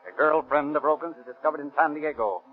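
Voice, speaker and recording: Rapid-fire 1930s American radio narrator, a man. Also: a little high, close to an everyday voice. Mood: urgent, dramatic. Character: urgent police-drama narrator. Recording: tinny 1930s radio recording with music.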